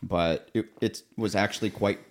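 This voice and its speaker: A man hosting a casual podcast conversation. His voice is deep and a bit lower than most men's. He talks in a relaxed, friendly way and likes to slip in jokes. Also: moderate pace. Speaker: podcast host.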